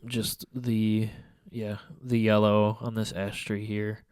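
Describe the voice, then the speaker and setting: A man's voice: fairly low pitch, flat delivery, slow pace, darkish tone, clear, resonant tone, no room sound, clean studio sound. A young man with a stuffy, congested nose, casually reviewing his photos in a rambling, hesitant way full of fillers and restarts. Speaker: photography vlogger. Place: small room at home by a window.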